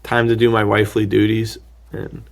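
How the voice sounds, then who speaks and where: Slangy, joking man with a creaky voice. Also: lowish voice, monotone, moderate pace. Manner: slangy, jokey, acts out dialogue mockingly. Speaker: podcast host. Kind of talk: casual movie recap chat.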